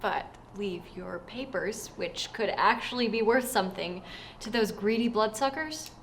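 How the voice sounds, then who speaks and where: A woman acting as a sharp-tongued skeptic in a web series. She needles at an unhurried pace. The sound is echoey, with background noise. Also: mid-range voice, natural voice. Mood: skeptical, needling. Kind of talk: acted web series comedy-drama. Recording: roomy echo, clear background noise.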